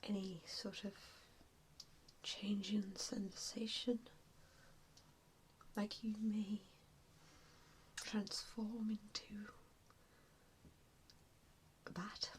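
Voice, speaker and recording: A woman doing an ASMR roleplay in a breathy whisper, speaking in a gentle, hesitant, reassuring way. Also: medium pitch, little pitch movement, extremely slow, pauses often, a little hiss or hum, poor audio. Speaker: ASMR roleplayer playing an assessor. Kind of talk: ASMR roleplay, softly whispered personal attention.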